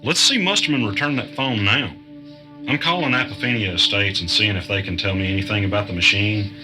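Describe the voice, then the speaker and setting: A man's voice: fairly low pitch, a little fast, over music, natural voice, poor audio. An actor playing a suspicious, stubborn man under stress in a naturalistic audio drama. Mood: weary, determined.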